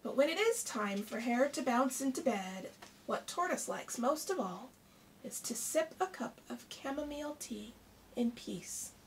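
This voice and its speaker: A woman's voice: a little high, unhurried. A middle-aged woman reading a picture book aloud expressively to children, like a primary teacher. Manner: expressive, addressing children with questions. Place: small indoor room with shelves. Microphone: camera a short distance away. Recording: distant mic, faint background noise.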